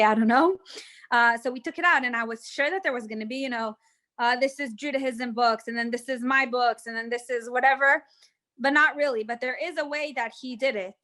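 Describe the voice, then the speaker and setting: Bright, American-sounding young woman guide. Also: fairly high pitch. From Israel. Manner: chatty, hesitant, full of fillers and restarts. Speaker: tour guide. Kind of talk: live virtual tour with audience questions. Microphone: video call.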